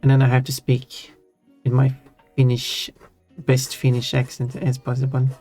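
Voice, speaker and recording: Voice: mid-range voice, monotone, moderate pace, dark tone. A live streamer chatting casually while drawing, rambling and correcting themself with small giggles. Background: faint background music.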